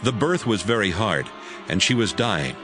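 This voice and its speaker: Male narrator, lowish pitch, animated delivery, scripted audiobook, music behind. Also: normal speed.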